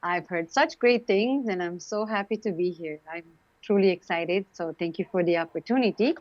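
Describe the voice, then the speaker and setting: A woman from Romania in her late forties with a Romanian accent, chatting as an interview guest, warm and full of fillers and laughs. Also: lowish voice, normal speed, dry recording, muffled recording. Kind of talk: casual interview talk.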